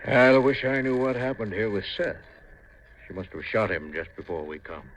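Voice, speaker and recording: An actor in a 1950s radio drama playing a steady, practical older country doctor with a fatherly, folksy manner. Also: on the low side, moderate pace. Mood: puzzled, questioning. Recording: noisy vintage 1950 radio recording.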